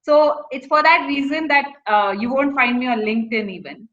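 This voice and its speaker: Indian lady giving career advice, bright highish voice, kinda lo-fi audio. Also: moderate pace. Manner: mixes English with Hindi phrases. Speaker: corporate professional and recruiter giving career advice to students. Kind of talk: informal advice talk.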